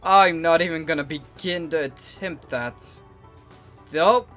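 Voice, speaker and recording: A man's voice: a little high, slow pace, a bit bright, faint music behind. Sarcastic man commenting on a game. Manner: sarcastic, exasperated, swears casually. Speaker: gaming channel host. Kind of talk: let's play commentary, reading game text aloud.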